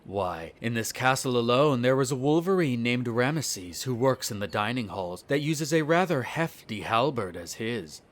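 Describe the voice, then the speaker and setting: A man's voice: medium pitch, animated, normal speed, hardly any pauses, natural voice. A man playing a smug, cruel vampire noble in an audio roleplay, speaking in a haughty, condescending, formal drawl. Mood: haughty, musing. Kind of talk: scripted audio roleplay acting.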